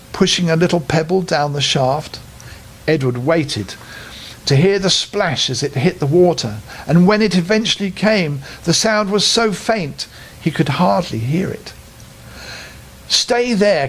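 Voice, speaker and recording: An older man tells a bedtime story with a very animated delivery. His voice sits fairly high for a man and moves expressively through the narration. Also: measured pace, husky.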